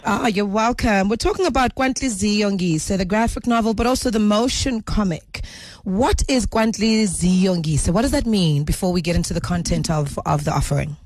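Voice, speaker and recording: A South African woman hosting a radio show in a conversational, playful and animated way. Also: medium pitch, moderate pace, dry recording. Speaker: radio host. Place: radio studio.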